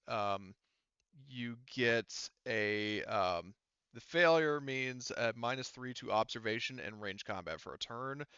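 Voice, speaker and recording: A man running a tabletop roleplaying game as game master, talking haltingly and thinking aloud as he works through the rules. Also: on the low side, bright, forceful tone, clear, resonant tone, no room sound, lo-fi sound.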